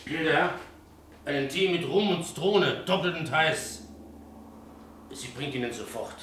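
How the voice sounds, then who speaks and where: An actor in a radio play as a courteous, secretive innkeeper, formal and obliging with theatrical intonation. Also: male voice, a little high, a little slow, a bit bright. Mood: bustling. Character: courteous, secretive male café landlord. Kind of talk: German radio play acting. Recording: echoey room, faint background noise.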